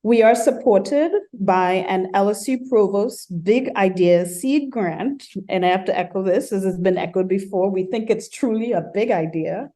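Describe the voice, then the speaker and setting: A woman from Trinidad and Tobago, an architecture professor giving a measured welcome talk at an online colloquium, with frequent ums and uhs, on a computer mic. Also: medium pitch, dark tone.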